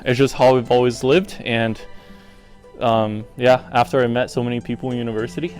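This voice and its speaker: A man's voice: medium pitch, darkish tone. A young man speaking casually in an interview, hesitating with fillers. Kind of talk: reflective interview answer. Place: outdoors at a golf driving range.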